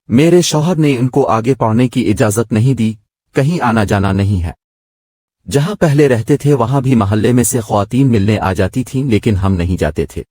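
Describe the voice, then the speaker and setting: A man's voice: fairly low pitch, mellow, no echo, studio quality. A man voicing a friendly, lonely wealthy mother who lives in seclusion, speaking politely and explaining.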